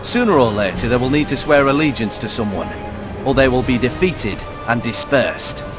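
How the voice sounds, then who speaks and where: A man's voice: medium pitch, expressive, normal speed, lightly acted. Male actor as sneering game monarch, swooping intonation, condescending, theatrical, music behind. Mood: scornful. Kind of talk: video game dramatic dialogue.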